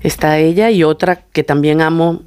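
A middle-aged woman, telling stories conversationally as an interview guest in an effusive, animated way and acting out the dialogue. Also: sits low, normal speed, smooth. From Venezuela. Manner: effusive, acts out quoted dialogue in anecdotes. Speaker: guest, singer and vocal coach.

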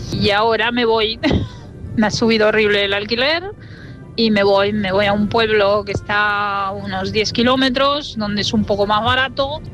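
A woman's voice: medium pitch, fairly bright tone, rough recording. A woman leaving a voice message to a radio show, exasperated and emphatic. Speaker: listener.

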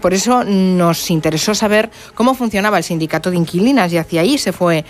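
A woman from hosting a radio news segment, introducing a report in a measured, serious way. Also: lowish voice, expressive.

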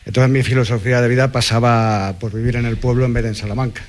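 A man's voice: mid-range voice, flat delivery, quick pace. A middle-aged man from Toledo with a Spanish accent from Spain, a livestock farmer answering conversationally as an interview guest. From Toledo, La Mancha, Spain.

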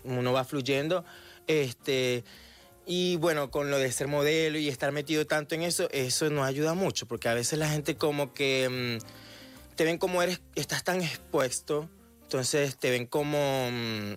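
A man's voice: on the high side, a little slow, soft background music. A man, a radio guest who answers hesitantly with many fillers. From Venezuela and Colombia. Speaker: guest, model and content creator. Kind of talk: radio interview.

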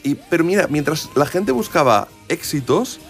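A man's voice: on the high side, very animated, normal speed, gravelly. A man with an accent from Spain chatting informally as a radio music presenter, telling stories in a digressive, jokey, enthusiastic way. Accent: Spanish from Spain. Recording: music behind the voice.

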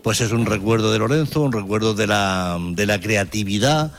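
A man's voice: on the low side, moderate pace, gravelly. A man, a town mayor answering in an earnest, speech-like way, stacking phrases in lists.

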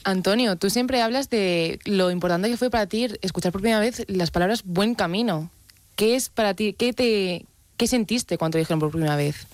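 A woman co-hosting a radio show, presenting a guest and asking questions in a lively, conversational way. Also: medium pitch, normal speed. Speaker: radio co-host.

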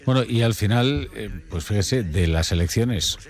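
A male news anchor with a fairly low voice. He talks conversationally with a reporter on air, feeling his way through phrases and interjecting. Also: animated, a little slow. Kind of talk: conversational live news discussion.

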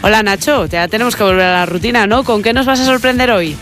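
A man co-hosting a regional radio magazine, smoothly reading scripted news links and interviewing guests. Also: sits high, fast talker, a bit bright, faint music behind. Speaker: radio co-host. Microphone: studio microphone.

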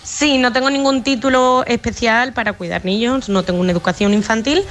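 A woman's voice: on the high side, a little fast, faint music behind. A young woman from Extremadura with a Madrid-tinged Spanish accent, chatting on a call about her au pair work. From Spain, Extremadura. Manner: chatty, lively storyteller, laughs often. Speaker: au pair calling in. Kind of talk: casual chat.

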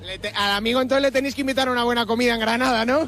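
A man working as a roving reporter, chatting to fans with quick-fire questions in live street interviews. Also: extremely high pitch, steady tone, music in the background. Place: outside a stadium.

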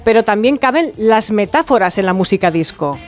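A woman's voice: mid-range voice, expressive, fairly quick. A woman with an accent from Spain giving jokey, chatty music commentary with a theatrical touch, like a radio segment. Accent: Spanish, from Spain. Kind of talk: playful, conversational music commentary. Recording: music playing behind. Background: disco music.

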